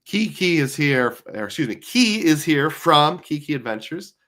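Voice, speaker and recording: A middle-aged man with a hoarse, tired voice, hosting a live stream in casual chat, rambling with lots of fillers. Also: fairly high pitch, big pitch swings, moderate pace, a bit bright. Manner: chatty, rambling with many fillers. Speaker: livestream host.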